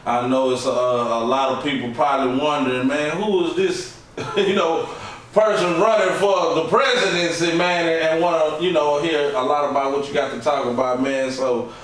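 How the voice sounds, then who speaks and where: A man's voice: fairly high pitch, steady tone, normal speed, a bit bright. A man hosting a casual interview, friendly and loose, dropping "man" and fillers into almost every line. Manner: friendly, filler-heavy, says "man" constantly. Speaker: podcast host. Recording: echoey room, mic far off, faint hiss.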